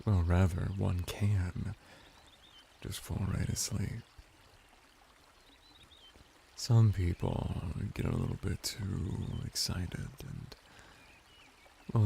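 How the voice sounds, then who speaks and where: Casting: male actor as seductive merman bath servant for ASMR roleplay; deep, slow, teasing and deferential. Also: gravelly, mellow, a little hiss or hum, exaggerated voice. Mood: seductive, soothing. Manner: unhurried, teasing, soothing, deferential. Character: flirty, seductive, servile adult merman bathhouse attendant.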